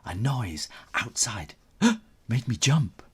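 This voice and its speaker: Male children's storyteller: very slow, highly animated, theatrical pauses, whispery emphasis. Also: slight background noise. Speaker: children's author reading his own book aloud.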